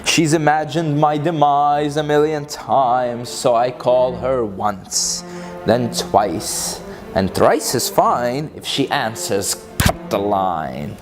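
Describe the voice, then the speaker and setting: A mid-pitched narrator of unclear sex reads comic verse with theatrical, mischievous glee. The pace is unhurried and the pitch swings widely, with music playing underneath. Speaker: author and reader. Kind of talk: dramatic comic verse reading.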